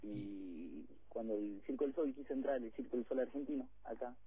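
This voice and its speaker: Young man, Rioplatense accent, phone caller, husky, tinny phone line. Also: medium pitch, moderate pace. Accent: Rioplatense Spanish. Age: 20s. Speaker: caller, circus performer. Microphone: telephone.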